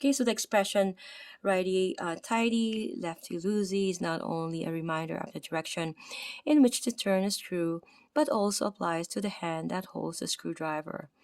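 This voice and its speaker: A woman's voice: fairly low pitch, normal speed, darkish tone, no room sound. Tired Filipino-accented woman, self-study narration with slips, dry recording. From Philippines. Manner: reads aloud with slips, self-corrections and filler "okay, right?". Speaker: anatomy study presenter. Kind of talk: reading aloud from a textbook.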